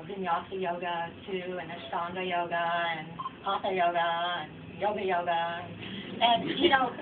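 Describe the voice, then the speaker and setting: A woman author speaking informally at a book event, reflective and rambling in a conversational way. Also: medium pitch. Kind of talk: informal audience Q&A. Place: bookstore reading event. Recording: distant mic, dull noisy room recording.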